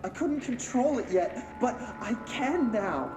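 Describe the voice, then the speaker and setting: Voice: mid-range voice, big pitch swings, takes it slowly. An actor playing a sullen, unstable captive man in a game, needling the person who evaluates him. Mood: wounded, pleading. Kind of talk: game cutscene drama. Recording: echoey room, music behind.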